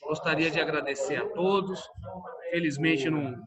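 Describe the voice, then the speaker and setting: A man's voice: a little high, moderate pace. Courteous Brazilian man chairing, crowd behind. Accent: Brazilian Portuguese. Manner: courteous, formal. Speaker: meeting chair. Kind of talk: formal meeting hosting.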